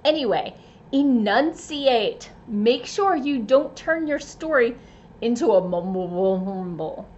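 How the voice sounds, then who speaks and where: A woman reading scripted advice as a presenter, with careful, expressive emphasis and playful asides. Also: on the high side, slow, dull audio, slight background noise. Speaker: writer and presenter giving tips.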